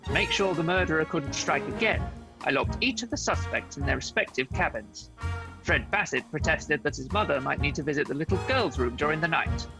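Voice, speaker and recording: A man acting a self-important, bumbling private detective in a comedy radio serial, with a pompous, deadpan delivery. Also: on the high side, fairly quick, vocal fry, music in the background, rough recording.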